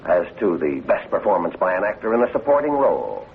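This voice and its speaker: A man's voice: sits low, big pitch swings, fast talker, gravelly. A man formally announcing award nominations in a 1940s announcing style. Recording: tinny old radio broadcast, faint noise.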